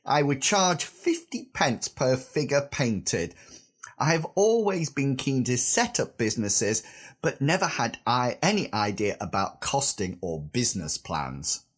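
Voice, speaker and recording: Husky man in his fifties, lively voice. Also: medium pitch, a little slow. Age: 50s. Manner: chatty, self-deprecating asides. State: recovering from a sore throat. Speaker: podcast host. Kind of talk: scripted solo podcast monologue.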